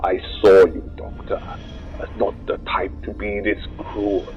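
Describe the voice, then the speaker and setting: A man's voice: low-pitched, monotone, measured pace. A man playing a proud, vengeful alien warrior in a sci-fi audio drama, menacing and declamatory in delivery. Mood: bitter, threatening. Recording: dull muffled lo-fi sound with music.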